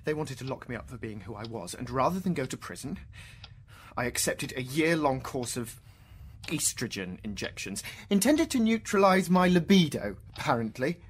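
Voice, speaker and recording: An actor with an English accent playing a troubled, proud middle-aged British scientist in a radio drama, intense and questioning. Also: male voice, mid-range voice, very animated, normal speed, a little hiss or hum, natural voice. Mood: reflective, insistent. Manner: intense, questioning, swinging from hushed musing to outbursts. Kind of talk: theatrical radio drama dialogue.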